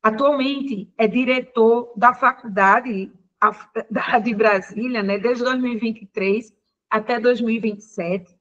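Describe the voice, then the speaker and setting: An older woman hosts the session and introduces the guest lecturer. Her voice is somewhat high and a bit muffled over the call. She stops and restarts often, filling the gaps with small hesitation sounds. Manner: halting, with frequent fillers and self-corrections. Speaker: professor introducing the speaker. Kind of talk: formal introduction.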